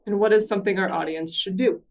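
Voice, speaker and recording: A woman podcast host interviewing a guest in a library room, upbeat and quick to laugh. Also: mid-range voice, distant mic. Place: public library room with a conference nearby.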